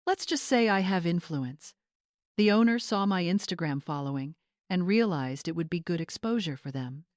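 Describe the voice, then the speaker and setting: A woman's voice: fairly low pitch, lively intonation, normal speed, darkish tone, no echo, studio quality, natural voice. Catty, condescending young bride, read by a woman. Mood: smug, fake sweet. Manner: syrupy mockery turning to cold commands.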